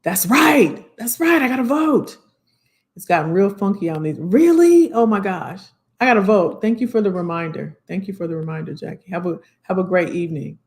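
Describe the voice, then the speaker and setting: An American woman chats to her live viewers as a career coach. She talks in a familiar, folksy way, often saying a phrase over again. Her mid-pitched voice has a slight hoarseness to it. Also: lively intonation, normal speed, darkish tone. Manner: chatty, familiar, repeats phrases. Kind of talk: casual live-stream chat with viewers.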